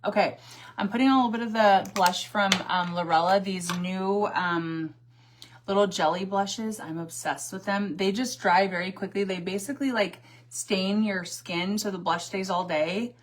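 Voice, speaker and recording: A woman in her forties talks casually through a livestream as she does her makeup. She is sassy and chatty, answers viewers' comments and laughs often, in a mid-pitched voice. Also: normal speed. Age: 40s. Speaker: livestream host. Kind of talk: casual livestream chat while doing makeup.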